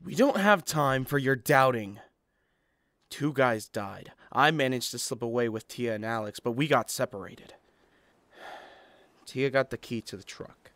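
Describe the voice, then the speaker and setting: A man's voice: medium pitch, unhurried, a bit bright, no room sound, lightly acted. A man playing an irritable, blunt young survivor with a curt, sarcastic manner in a webcomic dub. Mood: grim, curt. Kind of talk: animated webcomic fan dub.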